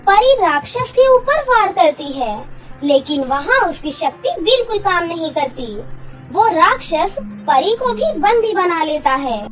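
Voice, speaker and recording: A woman's voice: extremely high pitch, very animated, a little slow, a bit bright, soft background music, poor audio. A woman narrating a scripted children's story as the storyteller.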